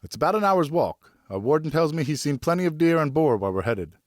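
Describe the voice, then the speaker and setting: A man's voice: fairly high pitch, very animated, a little fast, no room sound, studio quality, voice pushed up. A man voicing a genial French father and former officer, encouraging and instructive, in an audiobook. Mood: brisk, encouraging. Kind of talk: audiobook character dialogue.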